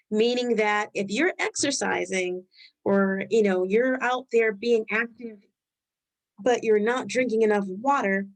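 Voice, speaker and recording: A woman with a Rhode Island accent hosting a live health webinar, talking loosely and thinking out loud as she goes. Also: medium pitch, low-quality recording. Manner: loose, conversational, thinks aloud while reading the chat. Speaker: webinar host.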